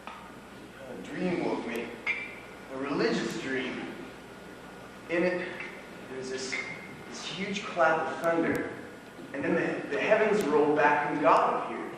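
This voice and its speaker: Guy onstage as rambling wry stoner telling tall tales, really slow, boomy echoey theatre, mic way far back. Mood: wry, halting. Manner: halting, drawn-out storytelling with dramatic swells. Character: rambling, wry male drug-culture housemate telling tall stories. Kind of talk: live stage play acting. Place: stage of a small theatre. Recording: echoey theatre hall, distant mic, noisy.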